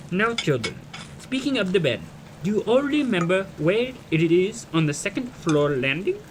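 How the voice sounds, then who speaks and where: A man playing a kindly, learned, wordy gentleman who explains things formally in an audio drama. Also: high voice, noisy background. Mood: patient, reassuring. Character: kindly, learned, verbose gentleman leading a group of children. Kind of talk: audio drama dialogue and narration.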